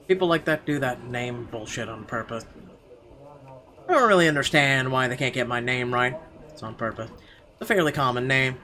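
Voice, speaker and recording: A man's voice: medium pitch, normal speed, sharp tone. A man doing a let's play, reading narration aloud with some stumbles and throwing in sarcastic asides. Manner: sarcastic asides, stumbling over read text.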